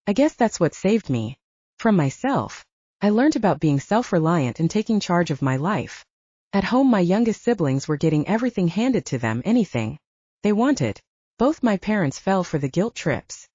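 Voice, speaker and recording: A woman's voice: lowish voice, big pitch swings, normal speed, dark tone, husky, no room sound, muffled recording. A woman voicing a wounded, self-reliant human ranger in an audiobook, confiding and candid. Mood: bitter, confiding. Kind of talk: audiobook character dialogue.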